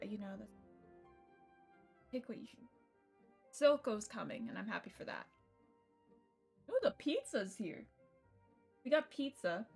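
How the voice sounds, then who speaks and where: A young woman livestreamer chatting casually and informally to her viewers, swearing freely as she goes. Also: a little high. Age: young adult. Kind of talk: casual chat to stream viewers while setting up a game. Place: indoors at home computer setup. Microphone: streaming mic with noise gate.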